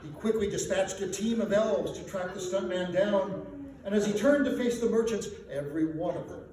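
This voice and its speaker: A man's voice: high voice, normal speed, warm tone. A man reciting a rhyming comic holiday story in a theatrical, emphatic, sing-song way, as a live storyteller to an audience. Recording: distant mic in echoey room, faint noise.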